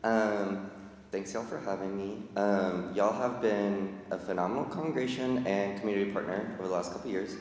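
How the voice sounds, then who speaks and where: A man, speaking loudly and casually as a community health educator addressing a church congregation. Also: lowish voice, moderate pace. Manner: loud, casual and joking. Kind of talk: casual guest announcement. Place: large church sanctuary. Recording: echoey hall with long reverb.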